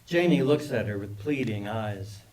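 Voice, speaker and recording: A man's voice: on the low side, animated, normal speed. A man narrating scripted stage directions at a screenplay table read, picked up from a distance. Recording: distant mic with background noise.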